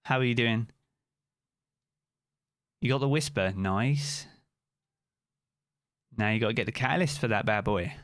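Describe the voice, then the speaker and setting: A man's voice: mid-range voice, a little slow, pauses often, a bit bright, no room sound, studio quality. A British man streaming live, chatting casually and answering viewers' comments by name.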